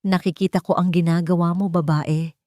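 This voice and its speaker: A woman's voice: fairly low pitch, fairly even intonation, normal speed, dark tone, no echo, clean studio sound, lightly acted. A woman voicing a furious, venomous elderly matriarch in an audio love drama, scolding with contempt.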